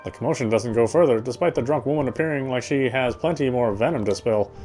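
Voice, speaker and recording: A man's voice: medium pitch, quick pace. A man reading visual novel narration aloud, expressively, with occasional stumbles over words. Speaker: game streamer. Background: game music.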